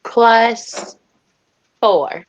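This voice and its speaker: A woman's voice: on the high side, drawn-out words, slight background noise. A woman chatting casually as a tabletop game player, playfully thinking aloud. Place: indoors, online voice call.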